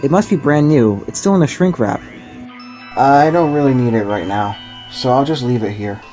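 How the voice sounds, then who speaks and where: An earnest, ordinary teenage boy voiced by a man in a medium-pitched voice. He narrates reflectively and with puzzlement, like a visual-novel protagonist, over background music. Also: expressive, normal speed, dark tone, natural voice. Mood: puzzled. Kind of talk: scripted anime game fandub narration. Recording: music behind the voice.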